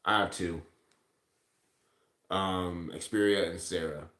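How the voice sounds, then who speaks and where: Echoey, low-voiced man rambling. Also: steady tone, takes it slowly, fairly bright tone. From Ontario, Canada. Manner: rambling, heavily profane. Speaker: live streamer. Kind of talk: casual livestream chat, replying to comments. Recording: echoey room sound.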